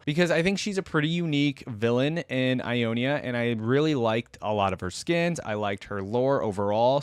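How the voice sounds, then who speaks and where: A man's voice: medium pitch, dry recording. A man hosting a reaction video, giving casual, conversational commentary straight to his viewers. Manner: informal, conversational, addresses viewers directly.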